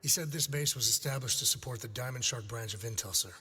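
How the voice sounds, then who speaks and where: An actor playing an analytical military intelligence officer in a game cutscene, speaking in a formal, explanatory manner. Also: male voice, mid-range voice, quick pace, pushed and bright, breathy edge, long reverb tail. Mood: measured, informative.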